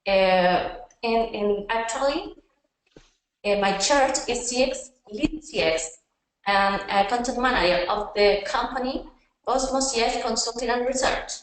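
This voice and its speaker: Nervous, slow woman in a very echoey room. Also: fairly high pitch, slight background noise. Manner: hesitant, many fillers and restarts. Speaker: journalist and content manager.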